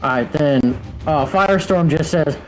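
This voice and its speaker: A man's voice: fairly high pitch, normal speed, soft background music, rough recording. A man playing a tabletop game, chatting casually about his moves and the rules.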